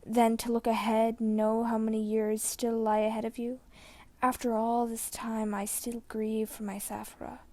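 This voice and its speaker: Girl doing a weak old dying man, breathy n halting, sad wise storybook mentor, dry close sound. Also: fairly high pitch, monotone, warm tone, no room sound, natural voice. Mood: weary grief. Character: frail dying old man, wise, grieving former warrior.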